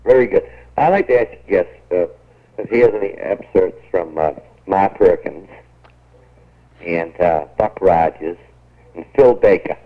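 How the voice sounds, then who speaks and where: Low-pitched man on a phone line. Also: a little slow, long pauses. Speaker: phone-in caller. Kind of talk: polite, hesitant casual call. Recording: narrow, tinny phone line.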